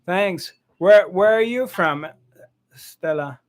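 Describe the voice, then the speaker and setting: A man's voice: high-pitched, expressive, takes it slowly, a crowd in the background. A man hosting a casual online group chat, often repeating and stammering over his words. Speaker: host, spiritual teacher. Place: online video call.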